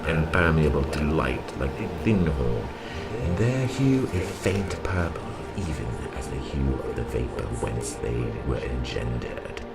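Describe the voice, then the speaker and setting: Extremely deep-voiced man narrating dark fantasy. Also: very animated, unhurried, gravelly, low-quality recording. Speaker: audiobook narrator. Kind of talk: scripted dramatic narration of archaic fantasy. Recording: moody music soundscape behind.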